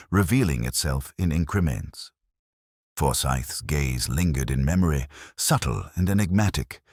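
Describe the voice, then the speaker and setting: A man voicing a reflective, melancholy detective, narrating a scripted audio drama in measured, literary, contemplative phrases. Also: deep voice, no room sound, clean studio sound, exaggerated voice.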